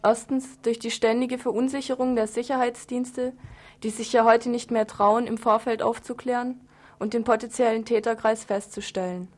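A woman acts as the quotation reader in a scripted radio programme. Her voice is a little higher than average for a woman. She reads prepared texts aloud. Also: level tone, normal speed. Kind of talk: scripted reading of quoted texts.

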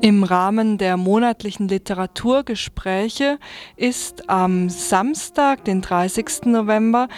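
A woman's voice: medium pitch, a little mellow. A woman presenting on radio, reading out event listings and announcements from notes in an informal way.

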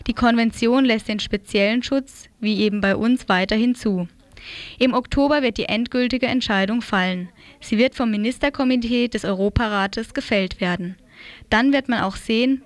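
A woman with a fairly high voice reads the news from a script. The recording is a dry radio studio sound with little room around her. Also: dry recording. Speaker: news reader.

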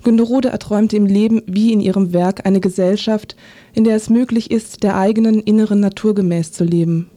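A woman narrating a scripted literary radio feature in a sober, measured manner. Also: medium pitch, fairly even intonation, warm tone.

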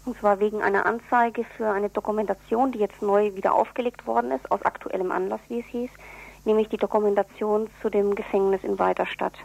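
A woman being interviewed on radio, explaining things in an earnest, deliberate way. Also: mid-range voice, fairly even intonation, vocal fry, darkish tone, lo-fi sound. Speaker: interviewee, activist for prisoners' relatives newsletter.

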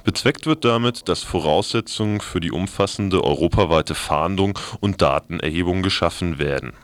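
A man's voice: deep voice, no room sound. A man reading scripted news items as a radio news reader.

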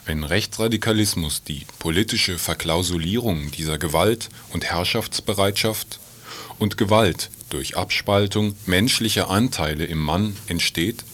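A man reading scripted narration for a radio feature in a measured, formal, emphatic manner. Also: sits low, a bit bright.

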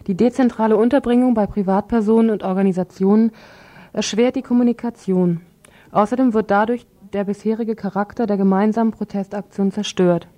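A woman's voice: medium pitch, dark tone. A woman reading a scripted radio news report.

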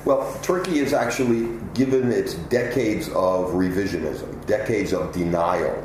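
A man giving measured, articulate and emphatic answers as an interview guest. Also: low-pitched. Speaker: interview guest, political analyst. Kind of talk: answering interview questions at length. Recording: echoey room with loud ambient noise.

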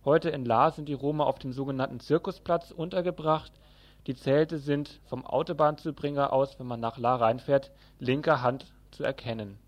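A man's voice: medium pitch, steady tone, normal speed. A man presenting a news report from a script, as a radio newsreader.

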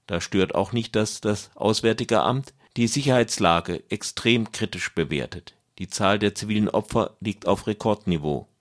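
Low-voiced male radio presenter. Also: normal speed, few pauses. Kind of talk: scripted radio magazine narration.

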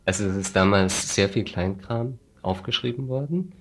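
A man, an activist being interviewed, explaining things deliberately in a critical, dryly sardonic manner. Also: lowish voice. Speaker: refugee aid activist, interview guest. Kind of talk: spontaneous explanatory interview talk.

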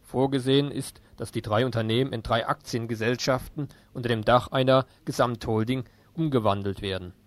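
Guy reading a scripted radio news report, mid pitch, really dry close sound. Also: steady tone, fairly quick, few pauses, no room sound.

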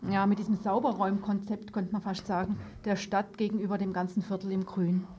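A woman hosts an informal radio interview in a relaxed, mid-pitched voice. She words things tentatively, thinking as she goes. The recording is dull, with a little noise behind her. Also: a little fast. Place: radio studio. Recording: dull lo-fi radio tape, noisy.

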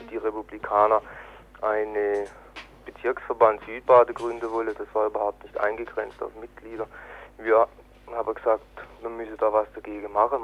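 A man speaking haltingly over the telephone as an activist being interviewed. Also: on the low side, slight background noise. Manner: halting, broken phrasing. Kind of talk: spontaneous phone interview. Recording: tinny band-limited phone line.

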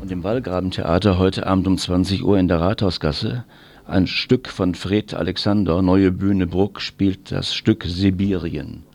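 Low-pitched man reading radio event listings. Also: fairly even intonation, measured pace, creaky, darkish tone, faint music behind. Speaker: radio presenter.

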